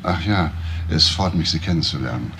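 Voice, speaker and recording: A man playing a courteous, probing homicide detective in a crime drama, speaking in a measured, polite way. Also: a bass voice, expressive, a bit bright, slight background noise, exaggerated voice. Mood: calm, measured. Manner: measured, polite, hesitant at times. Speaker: actor. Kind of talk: German TV crime drama dialogue.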